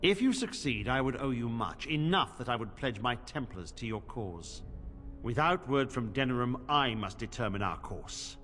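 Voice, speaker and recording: A man's voice: medium pitch, lively intonation, moderate pace, a bit bright, faint music behind, lightly acted. Male actor as middle-aged templar commander, stern, formal, grave, fantasy game, long hall reverb. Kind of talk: fantasy game dialogue acting.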